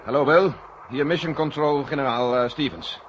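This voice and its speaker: An actor in a 1970 Dutch radio play, playing a grave, weary general who gives clipped commands from mission control. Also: male voice, medium pitch, normal speed, lightly acted. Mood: urgent. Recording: dull, very noisy old radio recording.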